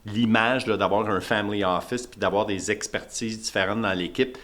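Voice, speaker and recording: Relaxed Québécois man hosting a podcast. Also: fairly low pitch, normal speed. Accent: Québécois French. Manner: relaxed, rambling questions with restarts. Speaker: podcast host. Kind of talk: casual podcast interview.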